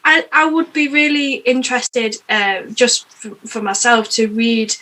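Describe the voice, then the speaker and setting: Higher-voiced woman hosting a podcast. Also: steady tone, pushed and bright, poor audio. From United Kingdom. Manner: chatty, eager, quick to affirm. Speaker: podcast host. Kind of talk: casual interview chat.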